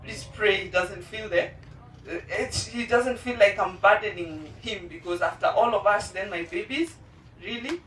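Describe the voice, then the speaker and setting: A mother of two special-needs children giving a heartfelt personal testimony at a charity launch, earnest and pausing often. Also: medium pitch, a bit bright, recorded from a distance, slight background noise. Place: indoor event venue. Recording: roomy hall, voice from off-mic.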